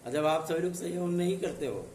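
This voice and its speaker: A man with a hoarse, sore throat talks in an earnest, reassuring way, often checking whether his listeners follow. His voice sits a little high for a man. He is some way off the mic in an echoey room, with faint noise behind him. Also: animated, a little fast, a little mellow, recorded from a distance. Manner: earnest, reassuring, with repeated tag questions. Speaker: exam news channel host. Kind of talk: casual direct-to-camera update.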